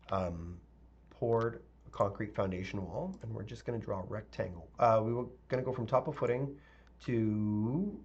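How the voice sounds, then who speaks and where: A male architect teaching a live software tutorial, talking casually with viewers and thinking aloud with fillers; his voice is a little raspy. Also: lowish voice, dull audio, a little hiss or hum. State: slightly raspy voice, clearing throat.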